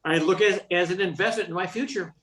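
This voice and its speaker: A man's voice: high-pitched, quick pace, a bit bright. A man playing an awkward, flustered professor in a radio comedy play, hesitant and prone to stammering. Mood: earnest. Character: awkward, flustered, charmless male professor smitten with a colleague.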